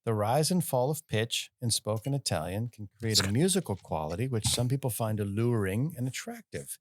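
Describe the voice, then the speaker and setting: A man's voice: on the low side, animated, normal speed, no echo. A man co-hosting a casual comedy podcast, reading news stories aloud between jokes. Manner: reads news items aloud, breaks into goofy mock-Italian voices.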